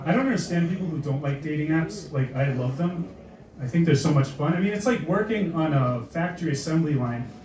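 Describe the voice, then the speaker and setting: A man from the area doing a stand-up comedy set, wry and self-mocking, with lots of hesitant fillers. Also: fairly high pitch. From Boston area, USA. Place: comedy club stage. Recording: echoey club audio from a distance.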